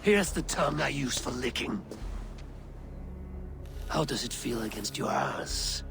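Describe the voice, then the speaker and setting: An actor playing a fierce, taunting Viking raider in a video game, blunt and threatening. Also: male voice, mid-range voice, expressive, a little slow, creaky, over music. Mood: menacing. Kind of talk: dramatic video game acting.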